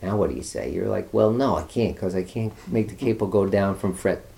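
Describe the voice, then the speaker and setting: A man's voice: low-pitched, a little fast, gravelly, dark tone. A man giving a guitar lesson, patiently walking students through chords step by step. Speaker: guitar teacher. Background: guitar playing.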